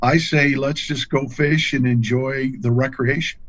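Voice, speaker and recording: A middle-aged man chats as a podcast guest in a folksy, plain-spoken style. His pitch is average. The sound is dry and a little dull, like lo-fi call audio. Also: fairly even intonation, a little slow, fairly bright tone, no echo. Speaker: podcast guest, angler and fishing pro staffer. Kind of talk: casual conversational storytelling.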